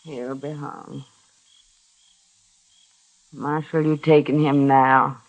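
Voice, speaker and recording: A woman's voice: a bass voice, level tone, very slow, muffled recording, full character voice. An actress playing a hard, weary middle-aged frontier mother with blunt rustic speech in a 1950s radio western. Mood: grim, resigned.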